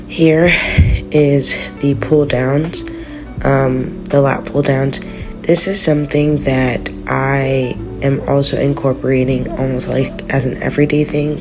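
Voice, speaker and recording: A congested woman giving a relaxed, rambling voice-over about her gym session. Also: extremely low pitch. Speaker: vlogger. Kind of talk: casual voice-over narration.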